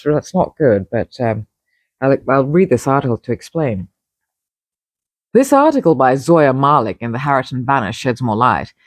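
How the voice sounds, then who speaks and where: A woman's voice: sits low, darkish tone, no room sound. A woman reading her own article aloud, breaking off into wry, chatty, opinionated asides and interrupting herself as she goes. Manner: digressive, wry, self-interrupting. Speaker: magazine publisher reading own feature article.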